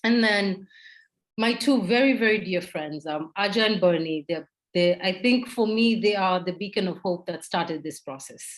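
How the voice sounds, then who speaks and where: Kenyan lady telling her own story in an interview, candid, medium pitched voice. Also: normal speed, poor audio. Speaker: interview guest.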